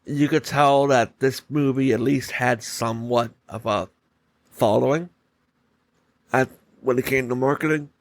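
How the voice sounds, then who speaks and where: Guy doing a solo podcast, mid pitched voice, casual opinions, kinda stop-start phrasing. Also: unhurried, long pauses, dry recording. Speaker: podcast host.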